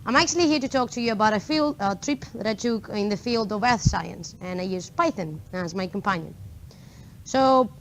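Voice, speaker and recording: A woman's voice: mid-range voice, lively intonation, normal speed, slight background noise, poor audio. A young woman from Greece with a Greek accent, giving a chatty talk to a meetup audience, full of fillers and asides. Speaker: conference speaker. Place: lecture room before an audience.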